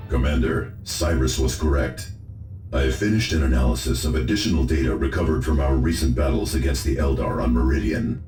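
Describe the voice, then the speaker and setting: A grave, learned space marine officer with an extremely low voice. He briefs formally and with measure in an echoing space, faint music underneath. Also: fairly quick, darkish tone. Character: solemn, learned space marine officer advising his commander. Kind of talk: science fiction video game voice acting.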